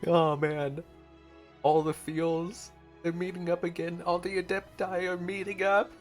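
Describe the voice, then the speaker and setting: A man hosting a gaming playthrough, reacting in casual, emotive commentary with gushing exclamations and mellow asides. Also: high-pitched, flat delivery, a little slow, faint music behind. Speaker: gaming video host.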